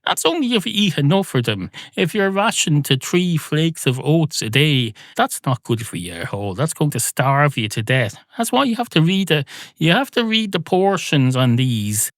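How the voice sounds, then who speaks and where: A man hosting a solo comedy podcast, rambling in a deadpan way through absurd digressions. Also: fairly high pitch, moderate pace, no echo, studio quality. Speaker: podcast host. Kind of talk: rambling comic solo monologue.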